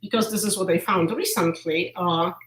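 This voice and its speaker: A woman with a Polish accent, speaking a little low over a video call. Her audio sounds roomy and reverberant. She explains her ideas thoughtfully, pausing with small hesitations. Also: fairly even intonation, slight background noise. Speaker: literature professor.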